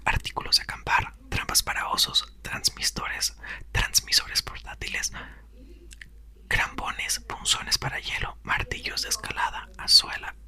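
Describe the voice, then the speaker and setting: A man softly whispering a novel aloud in an ASMR reading, close to the mic. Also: unhurried. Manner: soft whisper, occasional self-corrections. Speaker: ASMR reader.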